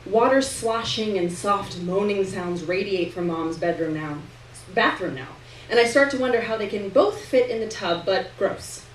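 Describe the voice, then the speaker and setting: A woman reads literary fiction in the first person to a live room. Her delivery is expressive, with deliberate pauses and shifting emphasis. The sound is echoey and distant, with faint noise behind her. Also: medium pitch. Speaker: reader performing a novel chapter to an audience. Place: live reading event in a room.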